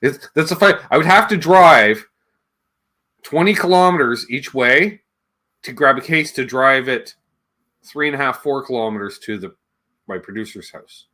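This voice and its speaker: A man hosts a relaxed livestream chat, reading out comments and drifting into asides. His pitch is animated and swings widely, in an ordinary middle register for a man. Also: normal speed. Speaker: livestream show host.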